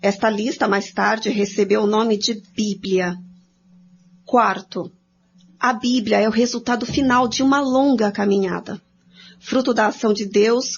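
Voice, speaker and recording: A woman co-presenting a religious study programme, reading scripted explanatory commentary. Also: on the high side. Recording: dull lo-fi sound with clear noise.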